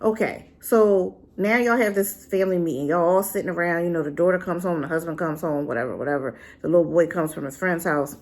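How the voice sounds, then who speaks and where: A divorced adult woman giving a sassy, animated casual review straight to her viewers. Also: on the low side, brisk, few pauses. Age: adult, been married and divorced. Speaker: drama reviewer.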